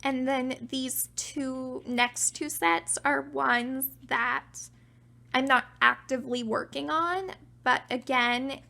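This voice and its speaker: A young woman casually talking through her collection, chatty and self-deprecating, her voice getting raspy from talking a while. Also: high-pitched, fairly even intonation, slow, sharp tone. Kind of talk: casual show-and-tell narration.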